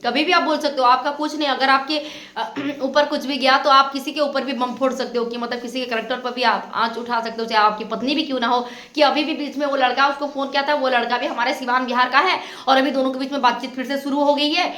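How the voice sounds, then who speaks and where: A woman's voice: sits high, fairly even intonation, fast talker, hardly any pauses, bright, forceful tone, reverberant. A woman giving opinionated reaction commentary as a channel host, reproachful and insistent, often repeating her points.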